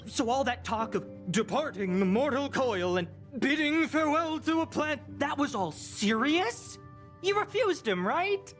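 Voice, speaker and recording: Huffy, dramatic young game heroine, high and swooping, stammers when flustered, faint music below. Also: female voice, sing-song, a little slow. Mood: alarmed, defensive. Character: prickly, flustered young woman who secretly cares. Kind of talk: animated video game voice acting.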